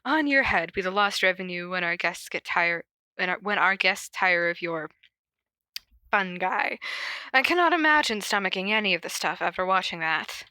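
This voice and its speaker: A woman's voice: on the low side, animated, moderate pace, bright, forceful tone, no echo. A woman reading the part of a prickly, suspicious young man in charge, clipped, formal and easily flustered. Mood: indignant.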